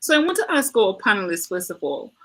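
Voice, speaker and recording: Bright-voiced woman moderating a panel. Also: mid-range voice, huge pitch swings, a little fast, a little hiss or hum. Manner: formal, welcoming delivery. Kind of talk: hosting an online panel.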